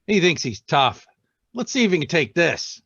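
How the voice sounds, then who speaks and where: A man's voice: on the high side, very animated, moderate pace, bright, forceful tone, no echo, exaggerated voice. A man playing a curt, slangy young gunman henchman, sneering and tough, in a 1940s-style radio drama over a video call. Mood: gloating, vicious. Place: remote read-through over a video call. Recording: muffled, dry video-call audio.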